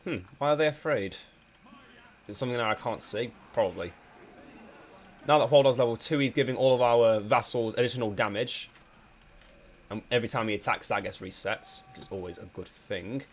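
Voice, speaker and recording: A man's voice: medium pitch, fairly quick, long pauses, slight background noise. Man casually commentating a strategy game. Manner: hesitant, many ums and restarts. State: slightly congested from a cold. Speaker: gameplay commentator.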